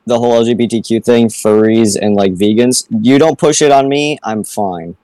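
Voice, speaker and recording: A man's voice: medium pitch, normal speed, dark tone. A young man chatting casually on a podcast, bringing up new topics. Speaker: podcast co-host. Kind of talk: casual chat.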